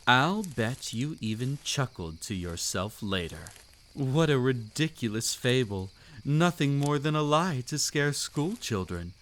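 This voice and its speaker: A man's voice: mid-range voice, very animated, a little slow, a bit bright, a little hiss or hum. A man playing a seductive, commanding vampire count in an intimate audio roleplay, suave, teasing and theatrically formal. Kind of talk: intimate roleplay audio drama, scripted monologue.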